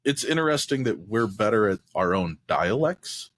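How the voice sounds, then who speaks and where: A man's voice: fairly low pitch, sing-song, normal speed, fairly bright tone. A man co-hosting a podcast in casual chat, explaining his points at length and often checking in with "right?". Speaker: podcast co-host.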